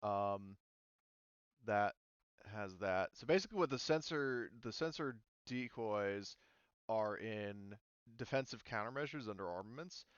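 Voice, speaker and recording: Male game master, casual and sweary, clear resonant voice, dry and slightly dull voice-chat sound. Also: lowish voice, a little slow, no echo. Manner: casual, profane. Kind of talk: casual tabletop game session talk.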